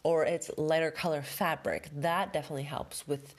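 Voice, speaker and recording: A woman's voice: deep voice, fairly even intonation, normal speed. A middle-aged woman hosting a home decorating podcast, chatting casually with lots of fillers while stuffed up from a head cold. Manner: chatty, rambling, many fillers. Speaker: podcast host, home stager. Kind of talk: casual solo podcast talk.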